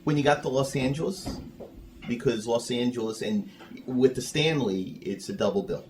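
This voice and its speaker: A man's voice: mid-range voice, normal speed. A man hosting a casual interview, asking questions in a conversational way. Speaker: interviewer, podcast host. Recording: faint room noise and ambience.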